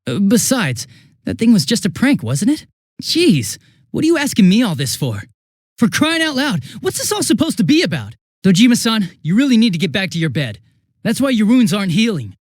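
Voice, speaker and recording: High-pitched, animated young police detective. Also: male voice, fairly quick, no room sound, studio quality, full character voice. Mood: evasive, casual, then exasperated. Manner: casual, hesitant, excitable. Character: young male police detective, flustered, affable, scatterbrained. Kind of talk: English video game voice acting.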